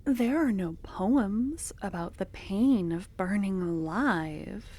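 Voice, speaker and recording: A young woman voicing an obsessive, possessive female cultist in an ASMR roleplay. She talks in a soft, close murmur that drops into whispers, and her tone is doting and unsettling. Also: medium pitch, lively intonation, slow pace. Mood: curious, doting.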